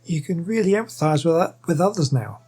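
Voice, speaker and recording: A man's voice: fairly high pitch, expressive, a little fast, a little mellow, slightly rough. A man commentating on a video game as he plays, thinking aloud and reading dialogue choices out. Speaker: game streamer. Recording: game music behind the voice.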